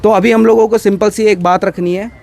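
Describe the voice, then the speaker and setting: A man's voice: high-pitched, fairly even intonation, a little fast, gravelly, darkish tone. A man from Uttarakhand speaking as a union organiser, rallying a group in an emphatic, insistent way and repeating his phrases. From Uttarakhand, India. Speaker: union organiser addressing members. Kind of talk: impromptu rallying speech to a group.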